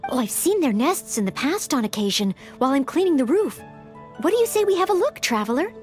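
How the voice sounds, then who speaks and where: A young maid aspiring to knighthood in a video game. Her voice is very high and moves animatedly up and down, with faint music behind it. She speaks with humble, eager courtesy and sincerity. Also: sing-song, fairly quick. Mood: eager, helpful.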